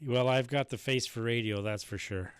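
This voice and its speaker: A man's voice: mid-range voice, moderate pace. Easygoing man over heavy hiss. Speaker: ham radio station host. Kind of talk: casual on-air chat. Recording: heavy hiss from radio gear.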